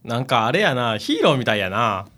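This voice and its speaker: A woman's voice: extremely low pitch, big pitch swings, fairly quick, background noise. A woman acting as a friendly, casual young man minding a cafe in a radio drama, with a folksy Kansai accent. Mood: cheerful. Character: breezy, friendly male freeter acting as cafe manager.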